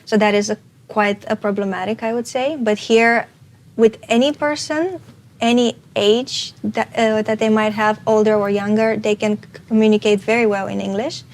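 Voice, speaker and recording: A woman's voice: mid-range voice, fairly even intonation, moderate pace. A young woman from Romania, an international student guest, talking earnestly in a casual panel chat with many restarts. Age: young adult.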